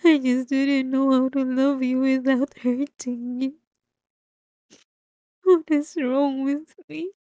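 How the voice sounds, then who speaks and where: An obsessive, delusional wife, acted by a woman in an intimate audio roleplay. Her voice is high and soft-edged, and she speaks slowly and haltingly, full of tearful remorse and possessive love. It is very close and dry on the microphone. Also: no echo. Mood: remorseful, pleading.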